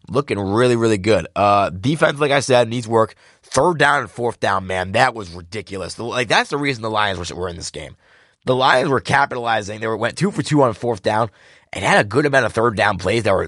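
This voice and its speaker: A young man with a slightly raspy voice, casually recapping a football game as a podcast host, rambling and correcting himself like an excited fan. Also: on the low side, no room sound, clean studio sound. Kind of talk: casual sports recap monologue.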